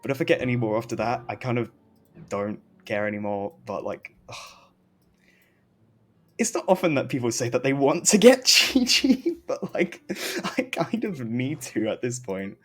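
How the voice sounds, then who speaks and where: A man's voice: medium pitch, sing-song, moderate pace, slightly rough. A man chatting casually as he streams a game, rambling and often interrupting himself, with some noise on the recording. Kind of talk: casual gaming stream chat.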